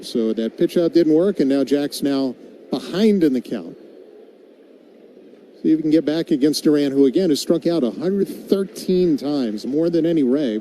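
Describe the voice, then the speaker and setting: A man's voice: fairly high pitch, mellow. A man doing live radio play-by-play commentary of a baseball game as the announcer. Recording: background noise of an outdoor ballpark.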